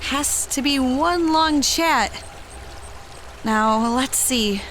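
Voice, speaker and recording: A woman acts out a brash young adventurer in an audio drama. She is wry and offhand, with a fairly high voice, a very unhurried pace and faint noise behind her. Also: a bit bright, heavily acted.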